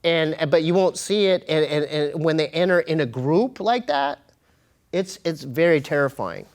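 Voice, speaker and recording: A man telling personal stories as an interview guest, in an informal, slangy way with halting, repeated phrases. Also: a little high. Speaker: interview guest, comedian and podcast host. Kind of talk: casual animated storytelling.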